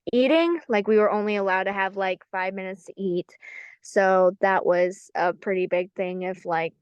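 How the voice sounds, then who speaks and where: A young woman with an American accent talks casually as a podcast guest. Her voice is clear and smooth at an average pitch, recorded very dry. She speaks in a halting, informal way, leaning on fillers. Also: dry recording. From United States, Southern Maryland from age four. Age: young adult. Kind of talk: casual personal interview.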